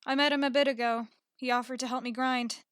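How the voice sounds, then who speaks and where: Audiobook casting: woman for hostile young catgirl swordswoman, fairly high voice, curt delivery, dry booth sound. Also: steady tone, fairly quick, a bit bright, clear, resonant tone, dry recording. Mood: explaining. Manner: curt, suspicious. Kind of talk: audiobook character acting.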